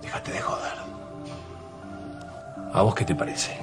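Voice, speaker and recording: A man's voice: a little slow, natural voice. An actor playing a confident, ambitious surgeon in a telenovela, a persuasive man in his forties with an Argentine accent. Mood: eager, persuasive. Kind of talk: telenovela acting. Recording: music playing under the dialogue.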